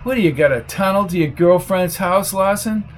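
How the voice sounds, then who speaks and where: A man's voice: sits high, moderate pace, a little hiss or hum, voice pushed up, lightly acted. A man voicing a hot-tempered, bullying tough guy in an audiobook, blunt, slangy and snapping. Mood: impatient.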